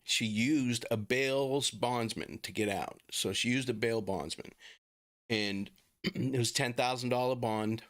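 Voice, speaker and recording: A middle-aged man with a Boston accent talks casually and haltingly to viewers as a livestream host. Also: mid-range voice, a bit bright. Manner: informal, chatty, halting.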